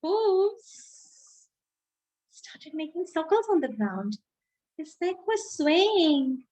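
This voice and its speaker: Female children's storyteller, high voice, extremely unhurried, expressive read-aloud with dramatic pauses. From India. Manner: dramatic pauses, repeats phrases for young listeners. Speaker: storyteller reading a picture book aloud.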